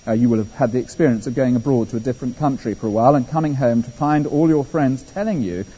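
An English man preaching a sermon, animated and conversational, with personal asides. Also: mid-range voice, quick pace, husky. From England. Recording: dull echoey hall.

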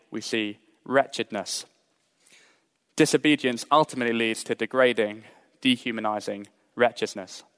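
A young adult man from Britain preaching a sermon in a measured, earnest way, mixing scripture with personal stories. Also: mid-range voice, fairly bright tone, clear, resonant tone. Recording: long hall reverb.

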